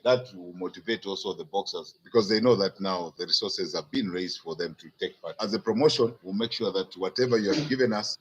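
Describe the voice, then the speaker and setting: A man's voice: on the low side, fairly bright tone. A man gives a spoken statement of thanks to the press as a sports promoter. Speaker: boxing promotions manager. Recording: faintly noisy location recording.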